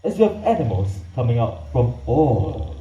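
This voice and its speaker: A man whose first language is Mandarin, presenting a live night animal show in an outdoor amphitheater, hyping the crowd and narrating in a theatrical, drawn-out way. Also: mid-range voice, very animated, warm tone, lo-fi sound, slight background noise. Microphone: PA system heard from the audience. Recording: echoey PA sound from far off.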